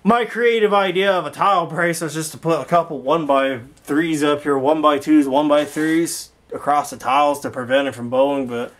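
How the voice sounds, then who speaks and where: A man giving a casual walkthrough vlog in an indoor workshop, rambling and halting as he thinks aloud. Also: fairly high pitch, a bit bright. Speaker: video creator showing a home intercom and speaker system.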